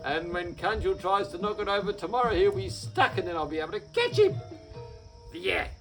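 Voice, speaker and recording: A worried farmer in a bedtime story frets and mutters to himself in a lowish, lively voice, as a man puts him on. His plans tumble out as he talks. Faint music sits behind. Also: moderate pace. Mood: scheming. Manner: low muttering, fretful. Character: grumbling worried male farmer.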